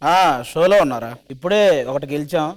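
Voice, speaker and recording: A man's voice: on the high side, animated, fairly quick. A man trading teasing, combative banter as a team leader on a TV dance show stage. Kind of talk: loud competitive stage banter. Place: television studio stage.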